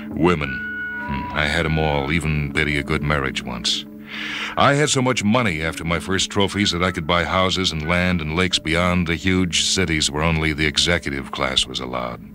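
A man reading a short story for radio as a brooding first-person narrator, with dramatic pauses. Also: rumbling low. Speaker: story reader. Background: music and sound cues.

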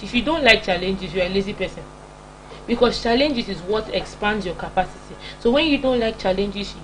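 A woman's voice: mid-range voice, rough recording. A young Nigerian woman gives an informal motivational sermon in an emphatic, repetitive, scolding manner with a Nigerian accent. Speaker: preacher and broadcast host. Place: small indoor home-style studio. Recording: clear background hum and noise.

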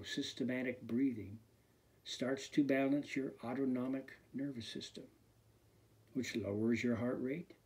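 A man, a fitness instructor, leads a guided meditation in a slow, soft, soothing voice that often sinks to a near whisper. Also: medium pitch, slightly rough.

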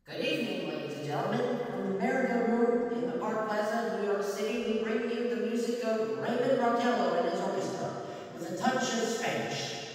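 Radio-play master of ceremonies, man acting formal and high, far from the mic in a reverberant hall. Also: brisk, close to an everyday voice. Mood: formal, welcoming. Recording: very echoey hall, distant from mic.

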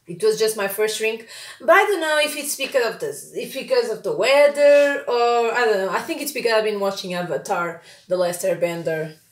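A woman's voice: on the high side, expressive, normal speed, echoey room. A young woman from Portugal with a Portuguese accent, chatting casually to camera at home, with lots of fillers and restarts. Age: young adult. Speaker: vlogger. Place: small bright room at home, indoors. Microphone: camera at a few feet.

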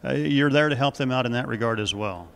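A man's voice: mid-range voice, brisk, creaky. A middle-aged man, a farm radio host asking interview questions with frequent "uh" fillers. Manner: friendly broadcaster, leading questions with "uh" fillers. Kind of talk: broadcast interview. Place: indoor office. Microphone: handheld station mic.

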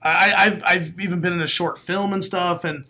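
Comedian guest, male, late 30s, raspy, bright forceful tone, animated talker, studio mic. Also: high-pitched, normal speed. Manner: animated storyteller, laughs often, bursts into loud announcer calls. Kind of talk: casual podcast interview. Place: small studio room. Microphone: studio mic, headphones.

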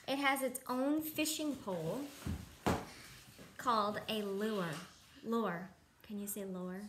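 Echoey, higher-pitched woman reading to kids. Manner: simple child-directed explanations and questions. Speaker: parent reading aloud to young children. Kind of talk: children's picture book read-aloud with asides. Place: indoors in a home room, seated at a table. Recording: echoey room, clearly noisy home recording.

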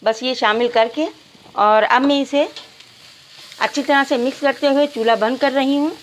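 A middle-aged woman with an Urdu accent calmly explaining a recipe step by step to viewers in a home kitchen. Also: high voice, moderate pace, a little hiss or hum, low-quality recording. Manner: calm, instructive, addresses viewers as nazreen. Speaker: cooking channel host. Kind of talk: step-by-step cooking instructions.

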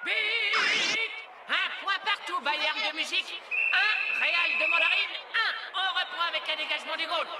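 High-pitched excitable cartoon commentator. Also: male voice, a little slow, reverberant, a little hiss or hum, heavily acted. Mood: excited. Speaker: match commentator. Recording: distant, music and crowd behind.

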